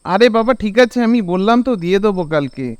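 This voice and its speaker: A man's voice: high-pitched, expressive, brisk. Man acting a hesitant young Bengali village guy. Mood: casual, defensive. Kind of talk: cartoon dialogue. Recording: clear background noise.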